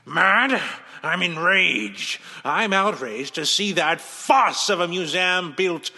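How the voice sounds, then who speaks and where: Mad professor villain for radio drama: theatrical, gloating megalomaniac, husky and wildly swooping, in a reverberant hall. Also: male voice, high-pitched, animated, slow, bright, forceful tone, exaggerated voice. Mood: outraged. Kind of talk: old-time superhero radio drama acting.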